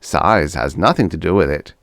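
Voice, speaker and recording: A man's voice: rumbling low, expressive, moderate pace, a bit bright, no echo, studio quality. A man voicing a shifty older mad doctor in a science fiction audiobook, fussy, theatrical and patronizing.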